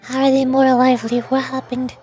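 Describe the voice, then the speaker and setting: A woman's voice: high-pitched, flat delivery, brisk, darkish tone, slightly rough, soft background music. A woman streamer chatting casually as she plays through a game. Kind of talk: casual game stream chat with read-aloud dialogue.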